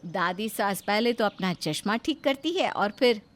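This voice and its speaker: A woman narrating a scripted moral story in an expressive storytelling style. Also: medium pitch, measured pace.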